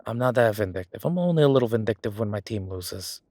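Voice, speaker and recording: A man acts out a chatty male records clerk in a roleplay audio drama. His voice sits fairly low and sounds very dry, with no room around it. He rambles along with a sardonic, rule-bending air and mock innocence. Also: expressive, quick pace, darkish tone, no echo. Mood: chatty, wry. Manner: rambling, wry, exaggerated feigned innocence.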